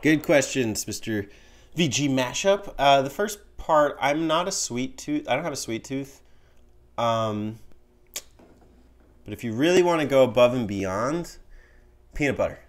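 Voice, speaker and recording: A man's voice: medium pitch, unhurried, pauses often. A young man hosting a show, answering viewer voicemail in a casual, rambling way with lots of fillers, recorded at home on a studio mic. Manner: animated, rambling with fillers, gesturing. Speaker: host. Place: small home room with bookshelf and window. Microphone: studio mic on stand in front.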